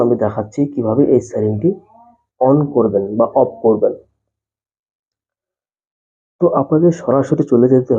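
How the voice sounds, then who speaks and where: A man narrating a step-by-step phone tutorial, explaining things repetitively and addressing viewers directly. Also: mid-range voice. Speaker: tech tutorial host. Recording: muffled, dull lo-fi voice-over.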